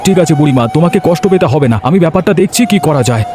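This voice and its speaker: A man's voice: fairly high pitch, expressive, very fast, close to an everyday voice. A man voicing a kind-hearted village fishmonger in a cartoon, solicitous and eager. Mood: concerned. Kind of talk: Bengali cartoon voice acting. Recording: music behind the voice.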